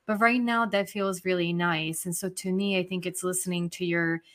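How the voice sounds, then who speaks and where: A woman from Serbia chatting casually in an expressive, reflective and informal way. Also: fairly low pitch, normal speed. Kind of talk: casual chat.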